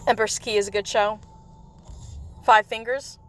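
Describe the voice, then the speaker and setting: A woman hosting a game livestream in casual, rambling chat, her voice slightly raspy from coughing. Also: a little high, fairly even intonation, moderate pace, a little hiss or hum. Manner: rambling, chatty, with frequent laughs. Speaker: livestream host. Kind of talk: casual chat while playing a mobile game.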